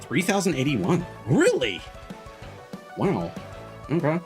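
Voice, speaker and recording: A man's voice: a little high, wildly swooping pitch, takes it slowly. A man giving casual, rambling, sweary commentary as he streams a video game. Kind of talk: casual gaming livestream commentary. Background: game music.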